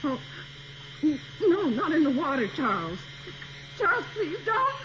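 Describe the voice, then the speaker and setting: A frightened, pleading wife draws out her words slowly in radio-horror melodrama. Her pitch swings widely on a dull, noisy recording. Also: wildly swooping pitch, exaggerated voice. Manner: drawn-out, melodramatic. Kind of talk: 1980s radio horror acting.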